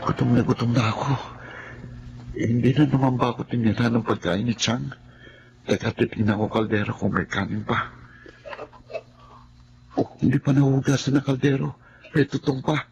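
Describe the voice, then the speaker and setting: A man's voice: mid-range voice, fairly even intonation, normal speed, gravelly, pauses often, muffled recording, noisy background, close to an everyday voice. An actor playing a poor, hungry, mistreated boy in a radio drama, plaintive and timid. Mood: hungry, worried. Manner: plaintive, timid, talking to himself. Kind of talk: dramatic first-person radio storytelling.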